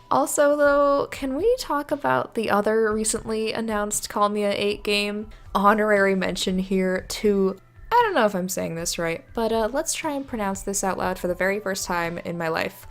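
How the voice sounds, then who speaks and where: A woman's voice: mid-range voice, normal speed. A young adult woman giving casual, informal video commentary on games in a chatty, enthusiastic way. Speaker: video creator. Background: background music.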